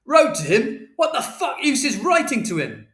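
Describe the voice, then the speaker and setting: A man voicing a furious, hurt, foul-mouthed father in an audiobook, loud, explosive and indignant. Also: extremely high pitch, wildly swooping pitch, normal speed, echoey room, pitched above the performer's own voice, full character voice.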